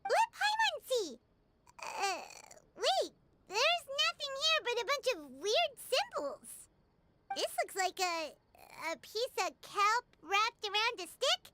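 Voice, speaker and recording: A woman voicing a tiny, childlike female fairy companion in a video game, squeaky, perky, curious and blunt. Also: very animated, slow, heavy background noise, exaggerated voice. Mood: curious, puzzled. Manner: perky, refers to self in third person. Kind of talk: cartoonish video game voice acting. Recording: loud jingly game music behind.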